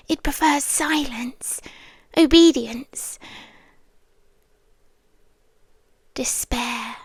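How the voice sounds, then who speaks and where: A woman voicing a lonely, eerie trapped woman who is half a monster. She speaks slowly and softly, often in a breathy whisper, with coaxing intimacy. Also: high voice, dry recording, clean studio sound, exaggerated voice. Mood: confessional. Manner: slow, soft and intimate, coaxing. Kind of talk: second-person audio drama.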